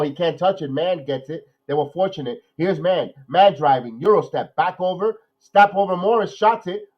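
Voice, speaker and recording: A man hosting a live watch-along podcast, nasal from a cold, calling plays excitedly and then talking analysis with many repeats. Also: fairly high pitch, lo-fi sound. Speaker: podcast host.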